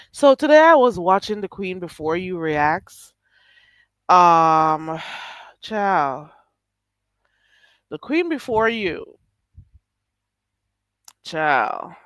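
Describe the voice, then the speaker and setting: Woman streamer, stuffy and a bit nasal, chatty and lively, dry close mic. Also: on the low side, no echo. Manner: chatty, laughs often, drawls "child". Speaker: live streamer reading chat. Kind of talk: casual late-night live stream chat.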